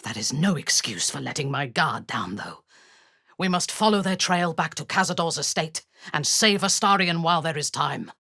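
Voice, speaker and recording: A woman playing a haughty, ruthless dark elf warrior in a fantasy video game, speaking in a measured, imperious, formal way with a breathy, husky voice. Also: deep voice, lively intonation, no room sound, clean studio sound, full character voice. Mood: commanding. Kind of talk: scripted video game character lines.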